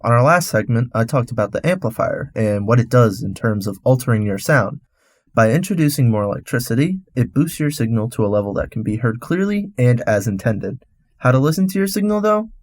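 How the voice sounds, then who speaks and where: A man's voice: mid-range voice, animated, brisk, dry recording. Male radio host, dry close sound. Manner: instructional, explains step by step. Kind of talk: scripted explanatory narration.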